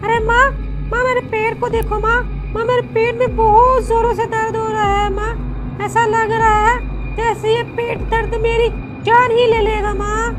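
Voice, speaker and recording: Woman voicing a very high-pitched whining little girl. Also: little pitch movement, normal speed. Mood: pained pleading. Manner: pleading, plaintive, repeatedly calling out to mother. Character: young village girl whining to her mother in pain. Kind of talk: Hindi animated horror story dialogue. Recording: loud music under the voice.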